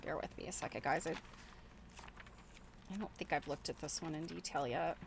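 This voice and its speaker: A woman's voice: low-pitched, moderate pace, creaky, slight background noise. A middle-aged woman chatting casually as she shows things to viewers, thinking aloud in a rambling, chuckly way. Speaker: host of a quilting show. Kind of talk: casual show-and-tell chat.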